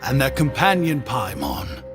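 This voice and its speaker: An actor playing an affable, self-effacing male shopkeeper in a video game, chatty and flattering in a deferential way. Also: mid-range voice, measured pace, over music. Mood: friendly, surprised. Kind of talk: video game character dialogue.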